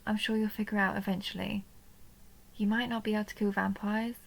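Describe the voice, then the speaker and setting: Vampire countess for intimate audio roleplay, played by a woman: soft and coaxing, near-whispered, creaky, narrow pitch movement, lonely and possessive. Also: flat delivery, fairly quick. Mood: soothing, affectionate. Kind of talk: intimate roleplay audio drama, addressed to the listener.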